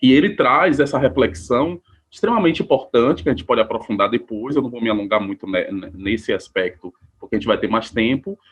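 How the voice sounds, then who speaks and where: A man's voice: mid-range voice, lively intonation, fairly quick, hardly any pauses, husky. A man from Salvador, a researcher giving a conversational academic talk on a video call, with many fillers and restarts. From Salvador, Bahia, Brazil. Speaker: researcher and panelist.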